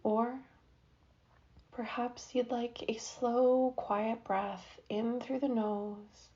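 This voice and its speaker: A woman leads a guided relaxation, speaking very slowly in a soft, soothing manner with long, patient pauses. Her voice is mellow and warm at a middle pitch. The recording is a little dull and lo-fi. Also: steady tone. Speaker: yoga nidra guide. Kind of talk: guided meditation.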